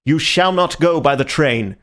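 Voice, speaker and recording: A man's voice: on the high side, a bit bright, pitched above the performer's own voice. Man voicing a firm, resolute young man. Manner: firm, slow.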